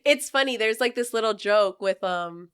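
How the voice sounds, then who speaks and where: A woman's voice: medium pitch, moderate pace, a bit bright, clean tone. A woman chatting casually as a podcast guest, speaking informally with lots of filler "like". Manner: informal, conversational, frequent "like". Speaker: podcast guest, police dispatcher. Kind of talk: casual interview chat.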